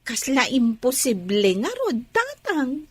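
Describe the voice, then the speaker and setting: A woman playing a gossipy, giggly young daughter in a radio drama, with an animated manner. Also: high voice, slow, husky, full character voice. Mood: gossipy, amused. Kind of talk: Ilocano radio drama acting.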